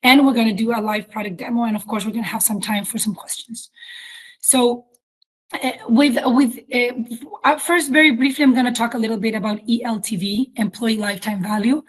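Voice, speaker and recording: A woman's voice: a little high, steady tone, brisk, poor audio. A woman with a Chilean accent and Spanish as her first language, hosting a webinar in an informal, explanatory way with hesitant phrasing. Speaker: webinar host, company chief executive. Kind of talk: webinar presentation.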